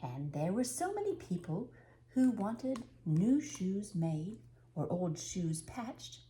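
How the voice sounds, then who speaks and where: An older woman reading a children's storybook aloud, unhurried and expressive, with dramatic pauses. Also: mid-range voice, slight background noise, rough recording.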